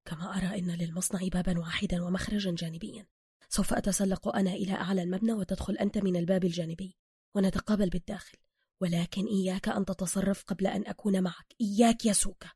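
A woman acts out a male masked vigilante in an audio story, stern and exacting. He speaks in formal, bookish phrases at a quick clip. The sound is close and dry. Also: on the low side, dark tone, no echo. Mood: commanding.

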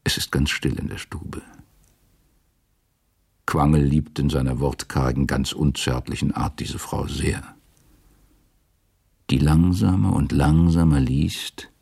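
Extremely deep, gravelly male narrator. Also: fairly even intonation, slow, pauses often, darkish tone. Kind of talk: scripted radio drama narration.

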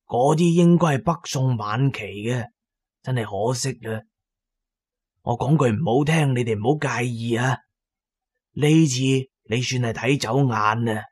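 An actor with a Cantonese accent playing a shrewd, talkative antique dealer in a radio drama, expounding knowingly and with emphasis. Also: male voice, medium pitch, a little mellow, breathy edge. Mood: enthusiastic, boastful, then frank, advising.

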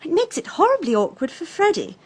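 A woman's voice: extremely high pitch, sing-song, a little fast. A woman acting in a radio drama as a breezy, flippant young society lady with an upper-class English accent, airy and drawling. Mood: gossipy, offhand. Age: young adult.

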